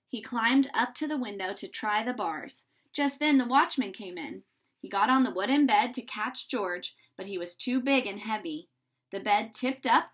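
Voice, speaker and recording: Woman doing an expressive kids read aloud, higher voice, plays up the exciting bits. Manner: animated, dramatizes exclamations with pauses for suspense. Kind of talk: expressive reading of a children's storybook.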